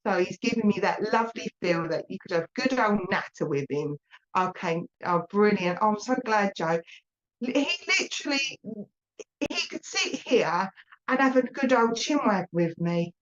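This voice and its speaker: A woman's voice: mid-range voice, lively intonation, moderate pace, highs cut off. A woman with an Essex accent giving a live mediumship reading to a viewer, chatty and reassuring as she passes on messages.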